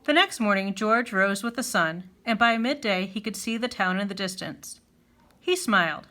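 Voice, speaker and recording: Animated, bright-voiced woman reading a children's story. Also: medium pitch, normal speed. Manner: animated, clearly phrased storytelling. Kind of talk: expressive scripted children's story narration.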